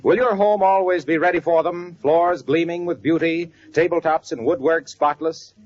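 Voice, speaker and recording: Animated, husky old-time radio announcer man. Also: on the high side. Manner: polished, salesmanlike delivery. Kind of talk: 1940s scripted radio announcing and sponsor ad. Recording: muffled vintage radio recording.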